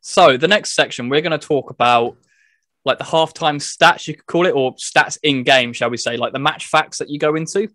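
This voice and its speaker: A man's voice: on the high side, moderate pace, fairly bright tone, no room sound, clean studio sound. A man chatting casually as a podcast host, reviewing a video game.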